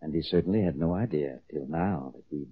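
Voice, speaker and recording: An actor playing a cold, calculating crime boss in 1940s radio style, with an American accent and a measured, understated menace. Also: male voice, extremely low pitch, slightly rough. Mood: quiet menace. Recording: tinny, muffled old radio recording.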